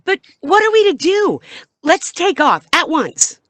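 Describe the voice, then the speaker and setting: A woman's voice: extremely high pitch, sing-song, a little slow, a bit bright, dry recording, heavily acted. A woman playing an anxious but plucky young female traveler in an audio drama.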